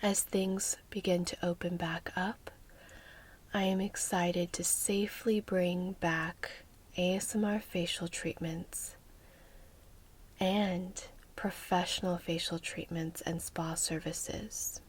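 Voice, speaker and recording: A woman speaks as an ASMR host in a hushed, breathy voice that sits a little low. Her delivery is very slow and measured, with a gentle, soothing manner that often slips close to a whisper. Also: steady tone. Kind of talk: soft-spoken ASMR.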